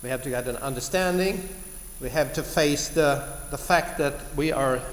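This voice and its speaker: A man with a Swedish accent, a regional delegate, speaking deliberately and spontaneously at a meeting in a conference hall. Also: mid-range voice. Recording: echoey hall with long reverb.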